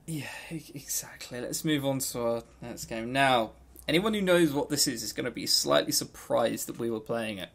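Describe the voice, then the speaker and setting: A man co-hosting a podcast, chatting casually about games and telling stories in an animated, jokey, sweary way. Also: medium pitch, moderate pace, gravelly. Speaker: podcast co-host.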